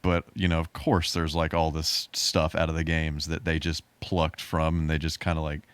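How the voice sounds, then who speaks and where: Low, smooth-voiced man on a podcast. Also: flat delivery, moderate pace, dry recording. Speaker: podcast co-host. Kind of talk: casual podcast conversation.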